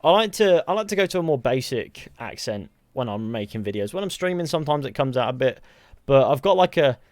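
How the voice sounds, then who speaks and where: A man's voice: medium pitch, big pitch swings, brisk, a little mellow, no echo, studio quality. A tired man from the UK with a mixed Mancunian and Southern English accent, chatting casually on a live game stream, rambling and joking as he answers chat. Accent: mix of Mancunian and Southern English. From United Kingdom.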